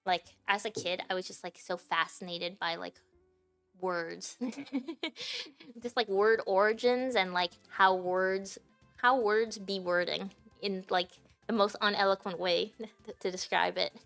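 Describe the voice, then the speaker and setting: A woman's voice: mid-range voice, a little slow, vocal fry, fairly bright tone. Japanese-native woman streamer just chatting, lots of "like" and restarts, faint music in the back. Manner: rambling, many fillers and restarts. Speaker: livestreamer. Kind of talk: casual stream chat.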